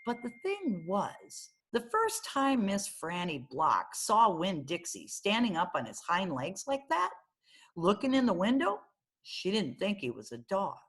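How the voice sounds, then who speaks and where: A woman reading a children's novel aloud as storyteller, in an expressive narrating style. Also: mid-range voice, measured pace, breathy edge.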